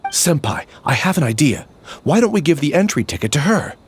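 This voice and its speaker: A man's voice: a little high, big pitch swings, fairly quick, slight background noise. A man voicing an earnest junior swordsman in a video game, dramatic and formal in his delivery. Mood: earnest, chastened. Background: music.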